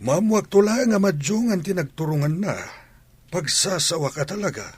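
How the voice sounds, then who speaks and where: A man's voice: high voice, expressive, normal speed, slightly rough, dry recording. A man playing a troubled, disapproving father in a radio comedy-drama, with an Ilocano Filipino accent. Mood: concerned.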